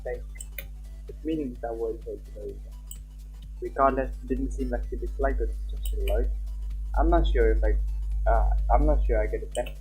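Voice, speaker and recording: A man streaming a visual novel, reading its text aloud in a casual, halting way with his own asides. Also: mid-range voice, phone-quality sound. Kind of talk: live gameplay narration with comments. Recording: faint game music behind.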